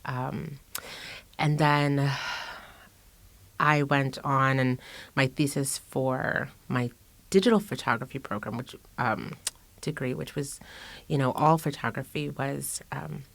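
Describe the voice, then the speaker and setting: A woman's voice: a bass voice, steady tone, takes it slowly, creaky, dry recording. A middle-aged woman guest in a casual interview, speaking reflectively with fillers and restarts. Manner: reflective, many fillers and restarts, laughs often. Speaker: guest artist.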